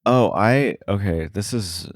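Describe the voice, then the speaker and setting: Low-voiced man, halting casual talk. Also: dry recording, studio quality. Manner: halting, filler-heavy, self-correcting. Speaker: podcast guest, sound editor. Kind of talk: casual interview chat.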